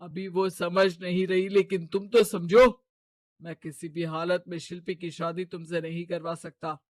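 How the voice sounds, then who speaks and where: Man acting a high-pitched, domineering wealthy father. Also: flat delivery, measured pace, dry recording. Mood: firm. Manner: domineering, scornful. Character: stern, status-proud wealthy father. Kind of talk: Hindi cartoon moral story dialogue.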